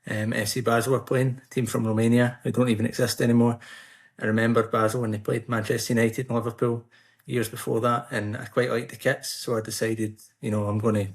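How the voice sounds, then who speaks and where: A man from the United Kingdom, a podcast guest giving measured, explanatory answers in a casual interview. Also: mid-range voice, little pitch movement. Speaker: podcast guest, Swiss football commentator.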